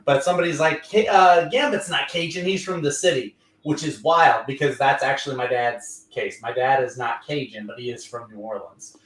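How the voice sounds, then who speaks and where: A man's voice: mid-range voice, moderate pace, hardly any pauses, fairly bright tone, recorded from a distance. A man from Louisiana hosting a casual comic book livestream, recapping stories in a rambling way with lots of fillers. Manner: rambling, filler-heavy recap with playful quoted voices. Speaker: comic book show host. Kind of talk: casual livestream review chat. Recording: roomy sound from away from the mic.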